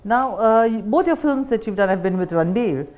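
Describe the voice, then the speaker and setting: A woman's voice: medium pitch, animated, normal speed, a little mellow. A woman with an Indian accent hosting a conversational interview. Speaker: interviewer, host.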